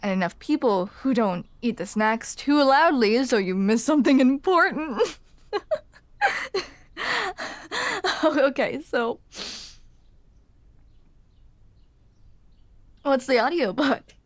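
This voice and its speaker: A woman's voice: sits high, animated, normal speed, no echo. A woman playing a grumpy but smitten barista in an intimate ASMR roleplay, hesitant, teasing and self-deprecating, close to the microphone. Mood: amused, impressed. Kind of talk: ASMR roleplay, intimate one-sided conversation. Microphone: close microphone, dry.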